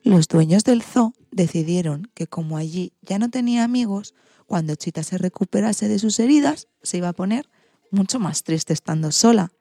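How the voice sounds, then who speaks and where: A woman's voice: medium pitch, lively intonation, moderate pace, mellow, no echo, studio quality. A woman presenting and narrating scripted stories for children. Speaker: co-host of an animal-stories programme for all ages.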